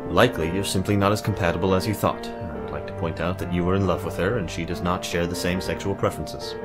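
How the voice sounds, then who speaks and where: A man playing an earnest, awkward young mage in a visual novel, explaining things in a formal, measured way. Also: deep voice, fairly even intonation, natural voice. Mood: grave, explanatory. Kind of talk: visual novel character acting. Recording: music bed behind the voice.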